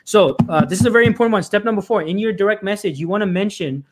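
Guy coaching job seekers on a live stream, high-ish voice, talks fast, keeps repeating points and saying folks. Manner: emphatic, repeats phrases, often says "folks" and "right?"; frequent restarts and filler "uh".

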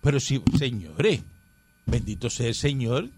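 A man's voice: mid-range voice, sing-song, measured pace, darkish tone, breathy edge, no echo. A man from Cuba hosting a casual radio talk show, rambling and emphatic with many fillers. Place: radio studio.

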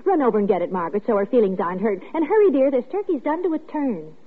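An actress playing a busy, brisk mother giving instructions in a 1950s radio drama. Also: fairly high pitch, big pitch swings, husky, narrow, tinny audio, soft background music. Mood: flustered, brisk. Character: busy, brisk mother cooking holiday dinner. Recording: thin old radio broadcast.

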